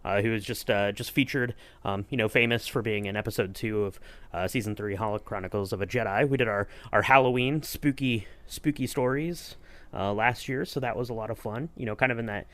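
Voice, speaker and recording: Lowish-voiced man hosting a casual podcast. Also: fairly quick, fairly bright tone. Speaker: podcast host. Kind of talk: casual chat interviewing.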